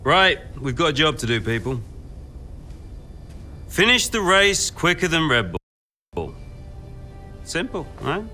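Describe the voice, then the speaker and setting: An earnest, driven team boss in a racing game. His bright, forceful voice moves widely in pitch. He speaks informally and emphatically, sometimes halting, over music. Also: big pitch swings, full character voice. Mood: rousing, determined. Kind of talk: naturalistic cutscene acting.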